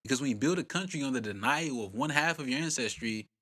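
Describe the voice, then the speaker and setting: A man's voice: mid-range voice, lively intonation, fast talker, pushed and bright. A man host narrating a scripted history documentary in a punchy, dramatic way with rhetorical questions.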